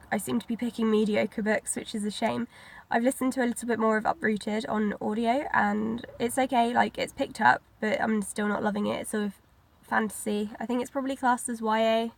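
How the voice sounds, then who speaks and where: Woman vlogger, stuffy head cold, a bit of vocal fry, chatty and rambling. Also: medium pitch. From Britain. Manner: rambling, many likes and ums. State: congested from a cold, sniffly. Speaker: book vlogger. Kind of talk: casual chatty vlog.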